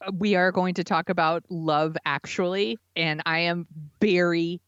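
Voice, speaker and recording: A woman's voice: lowish voice, steady tone, measured pace, fairly bright tone, clear, resonant tone, no echo, lo-fi sound. A woman co-hosting a podcast in casual chat, with an informal, enthusiastic manner. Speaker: podcast co-host.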